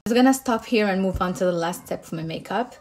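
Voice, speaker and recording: A woman's voice: medium pitch, fast, darkish tone. A woman with a Moroccan accent, a makeup artist casually explaining each step of her makeup routine.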